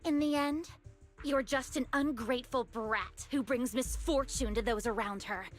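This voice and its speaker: Casting: actress as young villainess for anime game, high voice, zealot devoted to her leader, haughty, taunting, music underneath. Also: normal speed, natural voice. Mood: scornful. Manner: haughty, taunting, theatrical delivery. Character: fanatical young female cult villain devoted to her Father. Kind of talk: dramatic anime video game voice acting. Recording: music behind the voice.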